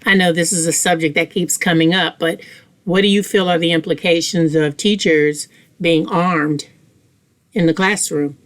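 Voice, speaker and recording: A woman's voice: on the low side, steady tone, moderate pace. A woman moderating a panel discussion and putting questions to the speakers.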